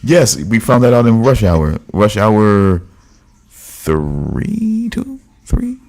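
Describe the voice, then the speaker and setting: A man's voice: lowish voice, huge pitch swings, extremely slow, a little mellow. A man co-hosting a podcast in casual chat, teasing and repeating himself. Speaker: podcast co-host.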